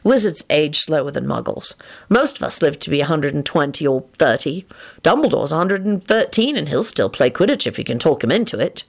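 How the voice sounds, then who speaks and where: Storybook romantic hero, played by a female narrator: young male wizard, brooding, earnest, lowish, creaky. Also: sing-song, brisk, full character voice. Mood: patient. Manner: grave, earnest, self-reproaching.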